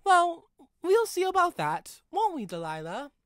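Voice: very high voice, wildly swooping pitch, unhurried, fairly bright tone, no room sound, clean studio sound, pitched above the performer's own voice, full character voice. A narrator voicing a friendly young male railway guard and new father, chatty and cheery in a spoken story.

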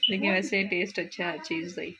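A woman's voice: on the low side, fairly even intonation, quick pace. A woman with a Punjabi accent chatting casually as she cooks in a home kitchen. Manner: chatty, narrates while cooking. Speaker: vlogger. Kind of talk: casual cooking commentary. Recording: home video with loud kitchen noise.

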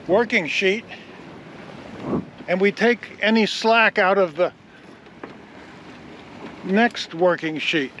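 A man's voice: fairly high pitch, a bit bright, rough recording. A man giving practical sailing instruction in an explanatory, teacherly way. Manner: didactic, explanatory. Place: outdoors on a sailboat under way. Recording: windy outdoor boat noise.

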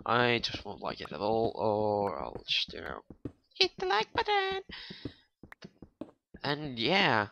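A man's voice: on the low side, huge pitch swings, takes it slowly, vocal fry, long pauses, pushed and bright, a little hiss or hum. A young man with a non-native English accent, rambling and swearing casually as he comments on his own gameplay. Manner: rambling, swearing, joking to viewers. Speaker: gaming YouTuber.

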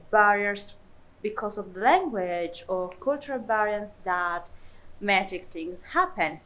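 A woman's voice: medium pitch, fairly bright tone, poor audio. A young woman vlogging casually to the camera, chatty, with lots of fillers and restarts. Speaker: travel vlogger. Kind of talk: casual vlog talk to camera.

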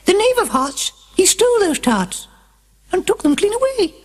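Shrill little male rabbit herald, read by a woman, very high-pitched, fussy, hasty, storybook. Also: sing-song, normal speed. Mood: officious. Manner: hasty, officious.

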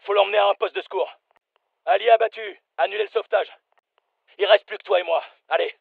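An actor playing a tough, no-nonsense special forces soldier in a shooter game, giving terse, matter-of-fact callouts. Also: male voice, sits high, fairly even intonation, quick pace, creaky, dry recording, narrow, tinny audio, heavily acted. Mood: businesslike. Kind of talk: military shooter game voice lines, French dub.

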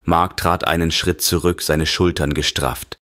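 A man narrating a scripted horror story in a grave, measured manner. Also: low voice, fairly even intonation, a bit bright, no echo, clean studio sound. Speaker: audiobook narrator.

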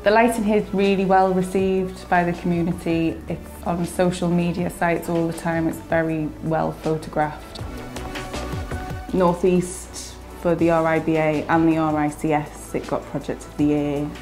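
A woman's voice: low voice, level tone, normal speed, a little mellow, faint music behind. A woman giving an interview testimonial as a business representative.

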